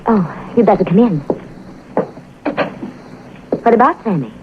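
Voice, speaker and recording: An actress playing an anxious, grieving young wife in an earnest, emotional 1940s radio drama style. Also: female voice, mid-range voice, animated, measured pace, close to an everyday voice. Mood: guarded. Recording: dull, hissy vintage radio recording.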